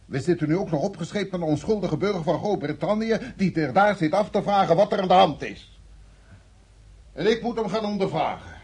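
A senior intelligence chief in a radio play, harried but diplomatic. His voice is very rough and breathy, set a little high for a man, and swings expressively. He speaks formally and with heavy emphasis. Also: expressive, a little fast, a little mellow, exaggerated voice. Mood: exasperated, angry. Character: harried senior security service chief, exasperated yet diplomatic. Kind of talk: Dutch radio drama acting.

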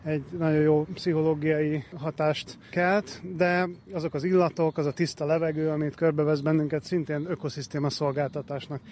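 A man's voice: a little high, flat delivery, hardly any pauses, darkish tone. A man being interviewed outdoors as a conservation expert, explaining as he walks. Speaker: head of a forest conservation programme, interviewee. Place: outdoors, walking in a nature reserve. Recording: faint outdoor background noise.

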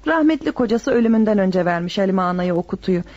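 A woman's voice: mid-range voice, animated, fast, a little mellow, natural voice. An actress in a radio drama playing a kind, dutiful neighbour woman who is respectful and reassuring. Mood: earnest. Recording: dull lo-fi radio recording, music behind.

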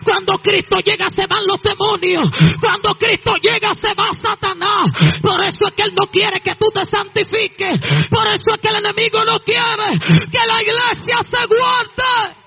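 A woman with a Dominican Spanish accent preaching a fervent Pentecostal testimony, impassioned and shouting, with repeated exhortations. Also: very high-pitched, fairly even intonation, a little slow, creaky. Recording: dull lo-fi sound with faint music. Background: faint music.

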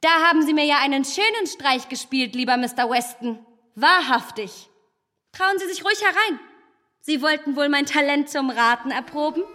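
A self-assured young gentlewoman of a period radio play, quick to take offence. Her voice sits fairly high and rings in a long reverberant space. She speaks in eloquent, emphatic, formal sentences. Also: lively intonation. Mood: teasing. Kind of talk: radio drama acting of a literary classic.

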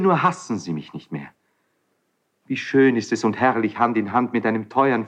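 A man playing an ardent, hot-blooded young prince in classical verse radio drama, declaiming with passion. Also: medium pitch. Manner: impassioned, declamatory.

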